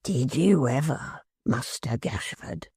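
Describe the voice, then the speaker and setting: A man's voice: medium pitch, expressive, slow pace, darkish tone, breathy edge, dry recording, clean studio sound, exaggerated voice. A man reading a storybook aloud, voicing a wheezy, sly old male hangman. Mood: hoarse whisper.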